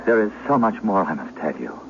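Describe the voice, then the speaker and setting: An actor playing a persuasive former lover in a radio drama, pleading earnestly and formally. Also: male voice, low-pitched, sing-song, brisk, vocal fry, heavily acted. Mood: pleading, tender. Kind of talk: old-time radio drama acting. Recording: muffled, noisy old radio recording.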